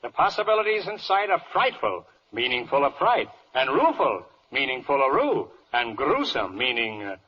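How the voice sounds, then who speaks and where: An actor playing a pompous, dithering older judge in a 1940s comic radio play in rhyming verse, blustering and hemming and hawing. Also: male voice, medium pitch, big pitch swings, moderate pace, few pauses, husky. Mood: pompous, fussy. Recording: dull old radio broadcast recording.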